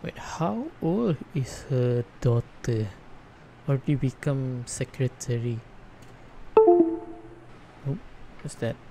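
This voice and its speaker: Slow, creaky-voiced man commenting on a game. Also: medium pitch, expressive, noisy background. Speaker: gamer commenting on a playthrough. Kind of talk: casual low-key gameplay commentary. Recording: game music behind the voice.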